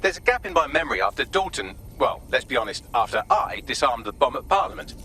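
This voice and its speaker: A man's voice: medium pitch, very animated, quick pace, bright, forceful tone, slight background noise. A man voicing a witty, sarcastic AI assistant with a British accent and a wry, articulate delivery, in a video game. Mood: explaining.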